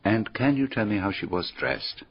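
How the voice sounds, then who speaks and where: An actor playing a courteous, probing foreign detective in a radio drama, with formal foreign phrasing and deliberate questions. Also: male voice, on the low side, breathy edge. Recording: dull, muffled radio drama recording.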